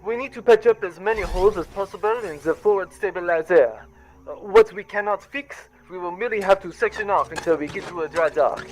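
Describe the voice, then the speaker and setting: A man's voice: high-pitched, brisk, close to an everyday voice, poor audio. A man playing a literal-minded starship officer in a comic sci-fi audio drama, giving orders in stiff, formal phrasing. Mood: businesslike.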